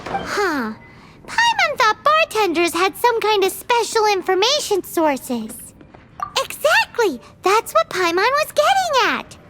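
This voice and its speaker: A woman voicing a tiny, nosy, excitable childlike fairy companion in a video game, given to exclamations. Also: extremely high pitch, slow, noisy background, exaggerated voice. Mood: curious. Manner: refers to self in third person, exclamatory. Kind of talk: video game character dialogue. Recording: music behind the voice.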